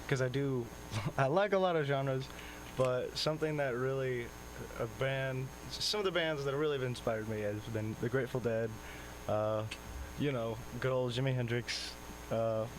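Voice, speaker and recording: A man's voice: mid-range voice, background noise. A man from Tennessee chatting casually as a band member being interviewed in a radio studio. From Tennessee, United States. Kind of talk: casual chat.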